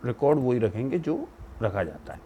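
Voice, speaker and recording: A man's voice: medium pitch, big pitch swings, normal speed, mellow, slight background noise. A man giving an informal legal lecture, explaining step by step and often checking that he is followed. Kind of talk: informal legal lecture with English terms mixed in.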